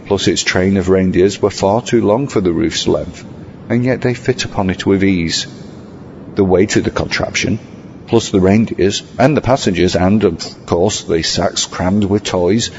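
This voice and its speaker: A man narrating a scripted horror tale in a formal, measured, literary storytelling manner. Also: low-pitched, a little mellow, a little hiss or hum. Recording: muffled lo-fi, music underneath. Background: music.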